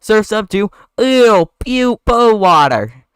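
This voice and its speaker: A man's voice: fairly high pitch, lively intonation, fairly quick, fairly bright tone, no room sound, clean studio sound, pitched above the performer's own voice. A man voicing a small, upbeat, wisecracking bee with a breezy, needling manner. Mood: exhilarated.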